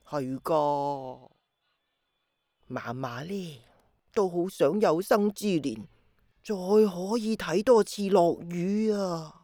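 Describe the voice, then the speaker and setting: A woman playing a gentle, wistful old grandmother in a radio drama, patiently explaining with sighs. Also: lowish voice, dry recording, clean studio sound. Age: older. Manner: slow, sighing, patient explaining. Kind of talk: Cantonese radio drama acting.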